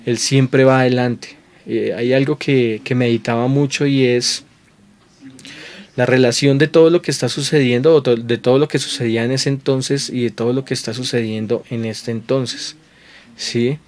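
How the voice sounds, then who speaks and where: A man preaching with earnest conviction, his voice sitting at an ordinary middle pitch. He keeps checking in with his listeners through quick rhetorical questions and circles back over phrases as he builds each point. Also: steady tone, darkish tone. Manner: repeats and restarts phrases while building points. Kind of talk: sermon to viewers at home.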